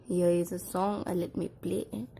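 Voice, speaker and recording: A woman's voice: lowish voice, steady tone, normal speed, creaky, mellow, slightly rough. A woman casually presenting a product unboxing, speaking in short, simple, repetitive phrases as she demonstrates. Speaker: product review vlogger.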